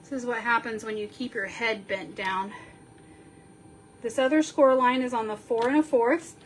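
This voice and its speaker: A woman sniffling a little as she slowly talks through a card-making tutorial, counting measurements aloud while she works. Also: on the high side, fairly even intonation, a little hiss or hum. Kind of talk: step-by-step crafting tutorial.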